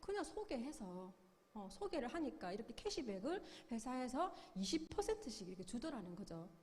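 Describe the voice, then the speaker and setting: A woman's voice: a little high, sing-song, normal speed, darkish tone, long reverb tail, a little hiss or hum. A middle-aged woman giving a sales seminar lecture, upbeat, explaining with questions and acted-out quotes. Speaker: business seminar speaker.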